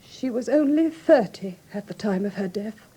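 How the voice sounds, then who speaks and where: A woman with an English received pronunciation accent playing a frightened, grieving young gentlewoman who speaks formally and earnestly in a classic radio drama. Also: a little high, animated, moderate pace, slightly rough, poor audio. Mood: anxious, sorrowful. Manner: formal, earnest, precise storytelling.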